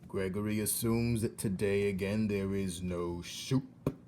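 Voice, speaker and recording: This is a man acting an old storybook jailer, gruff and solemn. He grumbles in a low voice at a very slow, weighty pace, with faint noise behind him. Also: fairly even intonation, a little mellow, natural voice. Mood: grumbling. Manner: speaks of himself in third person, grave.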